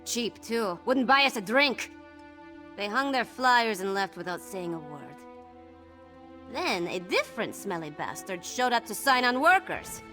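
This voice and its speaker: A woman's voice: medium pitch, sing-song, unhurried, bright, forceful tone, soft background music. A woman playing a rebellious young princess in a video game, haughty, brash and flippant. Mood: flippant, sardonic. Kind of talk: video game character dialogue.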